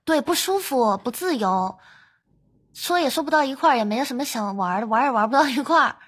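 Northern chinese woman hosting a chatty podcast, highish voice, expressive, lots of little exclamations. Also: fast, breathy edge. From northern China. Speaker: podcast host. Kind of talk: casual chat.

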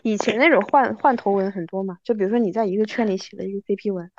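A woman's voice: mid-range voice, quick pace, darkish tone, no echo. Dull-sounding woman in casual podcast chat. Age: 30s. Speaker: podcast guest.

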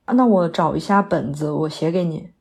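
一位女演员在广播剧里饰演刚下戏、略显疲惫的戏曲女演员，语气客气口语化，偶尔迟疑。. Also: female voice, low-pitched, a little fast, creaky, darkish tone. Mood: persuasive, friendly. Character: polite, weary female opera performer after a late show. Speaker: stage actress in an audio drama. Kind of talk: naturalistic modern audio drama dialogue.

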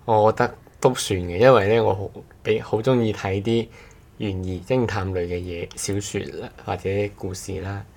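A man with a very low voice and a Cantonese accent co-hosts a casual podcast. He talks in short, halting pieces, often circling back to rephrase. The delivery is conversational and unscripted. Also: steady tone, creaky, darkish tone. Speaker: podcast co-host. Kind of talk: casual chat.

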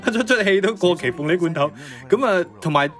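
Animated higher-pitched Cantonese man. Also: fairly quick. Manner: exclamatory, curious reactions. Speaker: co-host of a Cantonese pop history show. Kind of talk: casual chat, reacting and asking questions.

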